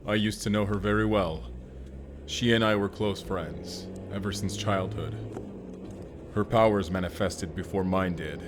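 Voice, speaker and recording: A man's voice: low-pitched, unhurried, heavy background noise. A man playing a stoic, solemn barbarian warrior in a cyberpunk audio roleplay, speaking tersely and formally. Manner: formal, terse, avoids contractions. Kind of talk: first-person audio roleplay acting.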